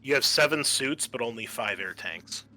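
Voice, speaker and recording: A man's voice: medium pitch, expressive, moderate pace, pushed and bright, faint music behind. A man refereeing a tabletop game casually as game master, in a hesitant, apologetic way.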